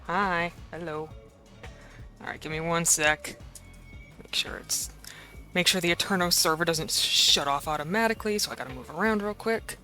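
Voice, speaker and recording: A slightly hoarse woman streamer in casual live-stream chat, rambling and playful as she talks to her chat. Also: on the low side, moderate pace, sharp tone, soft background music.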